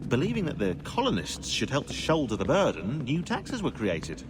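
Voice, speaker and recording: An actor playing a snide, excitable, pedantic British historian who rambles sarcastically in a video game. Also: male voice, medium pitch, normal speed, background noise. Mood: dry, pedantic.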